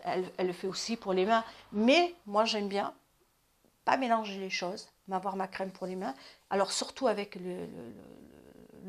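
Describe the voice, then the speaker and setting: A woman in her fifties who hosts an advice channel and talks casually about self-care, often restarting her sentences and dropping into quiet asides. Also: medium pitch. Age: 50s. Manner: chatty, repeats and restarts, drops to asides.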